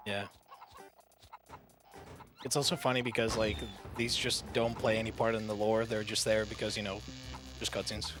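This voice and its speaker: A man's voice: fairly low pitch, little pitch movement, moderate pace, long pauses, over music. A man chatting casually as a co-commentator at a live video game speedrun.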